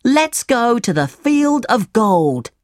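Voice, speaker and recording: Woman as childlike puppet boy, storybook, high pitch, earnest, excitable, drawn-out words. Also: no echo, clean studio sound. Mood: eager, grateful. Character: naive, eager wooden puppet boy.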